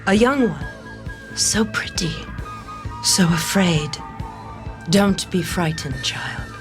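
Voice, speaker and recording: An actress playing a calm, mysterious blind shepherd woman in an audio drama, speaking in a measured, formal, portentous way. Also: lowish voice, music in the background. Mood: serene curiosity. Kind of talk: audio drama table read acting.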